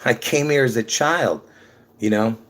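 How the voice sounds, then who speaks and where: A man from Lebanon talks straight to his viewers in a loose, rambling monologue. His voice sits in an ordinary middle pitch. He stops and restarts his sentences often. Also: unhurried, fairly bright tone. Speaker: conspiracy video creator. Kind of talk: rambling casual monologue to camera.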